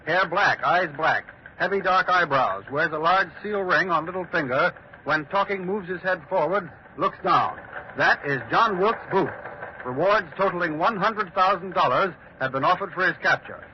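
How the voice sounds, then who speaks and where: A man's voice: sits high, moderate pace, close to an everyday voice. A man acting as a solemn studio announcer in a 1940s radio drama, reading bulletins in a clipped, dramatic way. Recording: muffled, hissy 1940s radio recording.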